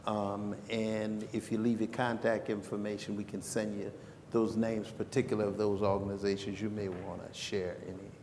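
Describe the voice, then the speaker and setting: A man's voice: fairly low pitch, level tone, moderate pace, darkish tone. A man answering questions on a conference panel, conversational, often restarting his sentences. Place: conference breakout room. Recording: echoey hall with background noise.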